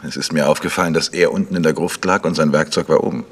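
Ein Schauspieler, der in einem Krimihörspiel einen selbstsicheren, bohrend fragenden Amateurdetektiv spielt. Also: male voice, a bass voice, steady tone, fast talker, breathy edge, long reverb tail. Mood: confident. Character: probing, self-assured male amateur detective. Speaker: amateur detective. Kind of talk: vintage German radio crime drama acting.

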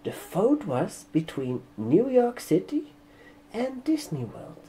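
A man in his twenties doing a soft-spoken ASMR barbershop roleplay, speaking haltingly in short phrases. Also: high voice, wildly swooping pitch, a little hiss or hum. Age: 20s. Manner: slow, halting, hushed delivery with short phrases. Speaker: ASMR performer playing a barber receptionist. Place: indoor room, seated at a desk. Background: computer keyboard typing and clicks.

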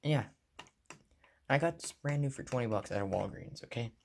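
A young American man with a medium-pitched voice talks viewers through a hobby in a casual way. His phrases are short, plain and offhand. It sounds like a handheld phone video made in a bedroom. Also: moderate pace, gravelly, a little mellow, slight background noise. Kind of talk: casual show-and-tell narration. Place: small carpeted bedroom, indoors. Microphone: handheld phone behind the camera.

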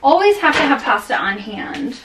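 A woman's voice: high-pitched, big pitch swings, normal speed, noisy background. A woman in her thirties chatting casually to camera in her home kitchen, rambling with many restarts and fillers, sounding tired. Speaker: vlogger. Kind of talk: casual grocery haul chat. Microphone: camera on a counter at close range.

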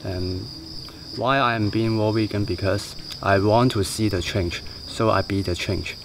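A man's voice: lowish voice, steady tone, a little slow, gravelly. A man in his twenties with a Hong Kong accent, answering casually in an interview. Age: 20s. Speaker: festival attendee interviewee. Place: outdoors against dark foliage. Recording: slightly distant, insect-laden outdoor noise.

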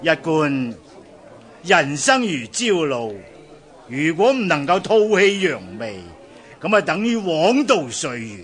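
A man's voice: a little high, sing-song, slow, a bit bright, exaggerated voice. A man with a Hong Kong Cantonese accent playing a bold, ambitious leader of sworn merchant brothers in a radio drama. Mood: grave, defiant. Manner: hearty, declamatory.

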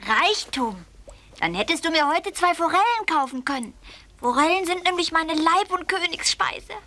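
Little tiger for kids' cartoon: very high squeak, bouncy pitch, eager and bossy. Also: female voice, expressive, moderate pace, bright, forceful tone, exaggerated voice. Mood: dreamy. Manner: eager, childlike, emphatic. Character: small excitable young male tiger, dreamy and bossy. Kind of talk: children's animated audio story acting.

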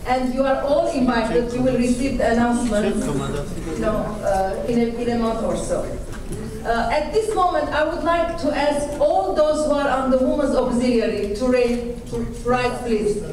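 A woman's voice: fairly high pitch, expressive, moderate pace, a crowd in the background. A middle-aged woman, co-chair of a volunteer group, giving a polite formal announcement at a podium with many fillers, recorded in a large hall. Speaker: auxiliary co-chair. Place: banquet hall, indoors, large. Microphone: podium microphone heard from a far camera. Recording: echoey hall, far-off mic, room noise.

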